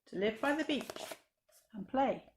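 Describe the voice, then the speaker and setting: A woman's voice: fairly high pitch, animated, measured pace, slight background noise. A woman reading a rhyming children's story expressively, with dramatic emphasis, as a library storyteller.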